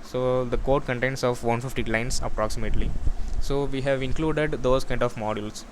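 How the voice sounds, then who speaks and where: A man giving an unscripted technical screen-share walkthrough in a halting, repetitive, explanatory way. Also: medium pitch, steady tone, slight background noise. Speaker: student or developer presenting a project.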